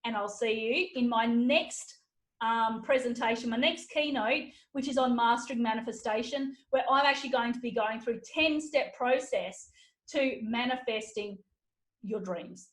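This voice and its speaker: A woman podcast host giving an upbeat motivational keynote talk, walking through numbered steps, her voice slightly hoarse. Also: fairly high pitch, moderate pace. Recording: roomy echo.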